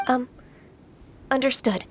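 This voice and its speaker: An actress playing a gentle, earnest young half-immortal trainee in a video game, polite and measured. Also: female voice, high-pitched, a little hiss or hum. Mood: meek, hesitant. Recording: music behind the voice.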